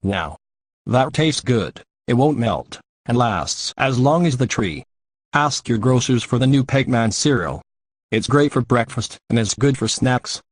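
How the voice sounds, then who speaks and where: A man's voice: medium pitch, expressive, unhurried, dry recording. A man working as an announcer, reading scripted links and ads in old-time radio style. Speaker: show announcer.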